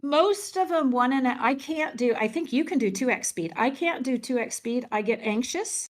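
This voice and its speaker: A woman talking as a podcast guest from an office, animated and quick to laugh. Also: high-pitched. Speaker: podcast guest, event organizer. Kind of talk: casual podcast conversation.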